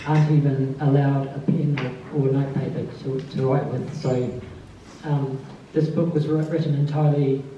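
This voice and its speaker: A soft-spoken man who gives a tribute introduction at a literary event and then reads a short piece aloud. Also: mid-range voice, slight background noise. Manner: soft-spoken, calls his voice mousy. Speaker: author giving a tribute reading. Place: festival event space. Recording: distant, dull, very echoey room.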